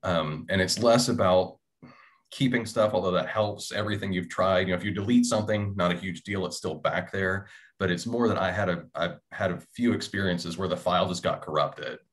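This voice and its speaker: A man's voice: low voice, a little fast. A man in his thirties, a writer, talking casually as a panelist on a video call. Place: small indoor room.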